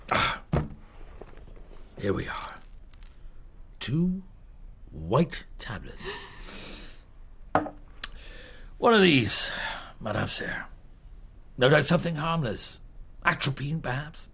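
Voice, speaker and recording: Gravelly, probing police detective chief. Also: male voice, on the high side, very animated, a bit bright, slight background noise, full character voice. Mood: probing, commanding. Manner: deliberate, probing, insistent questioning with pauses. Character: shrewd, relentless male police detective chief, middle-aged. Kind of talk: radio drama acting.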